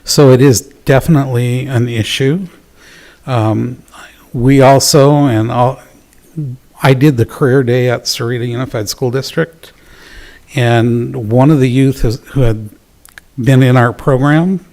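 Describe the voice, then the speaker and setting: A man presenting at a public town council meeting, talking in a measured, earnest way with fillers and pauses. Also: mid-range voice, steady tone, darkish tone. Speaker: youth program presenter.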